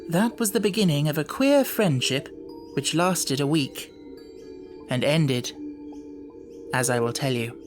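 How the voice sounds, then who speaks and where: Man narrating a classic novel, measured delivery. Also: fairly high pitch, expressive, over music. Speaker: audiobook narrator. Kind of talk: scripted literary first-person narration.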